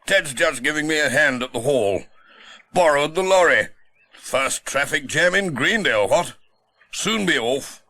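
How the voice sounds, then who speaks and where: A male reader acts out a bluff old army major in a children's storybook. He is bossy but courteous, speaking in clipped, hearty bursts with a very lively, swooping pitch. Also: a little slow, exaggerated voice. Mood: brisk, apologetic. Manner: clipped, hearty military phrases. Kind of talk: dramatised children's storybook reading.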